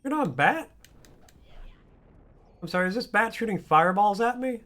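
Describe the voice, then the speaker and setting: A hoarse man streaming a video game, joking and rambling casually. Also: high voice, animated, moderate pace, fairly bright tone. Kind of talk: casual gaming stream chat.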